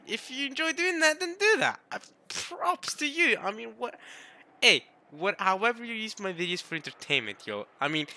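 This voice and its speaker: A man's voice: on the high side, bright, forceful tone. A young man casually rambling to his viewers as a video creator between readings. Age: young adult. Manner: rambling, babbling.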